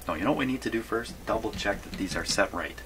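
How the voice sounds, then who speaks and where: A man's voice: on the low side, expressive, fast talker. A man giving a casual hands-on workshop demonstration, thinking aloud in a rambling way and often questioning himself. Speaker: presenter of radio repair videos. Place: small home workshop. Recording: clearly noisy small workshop recording.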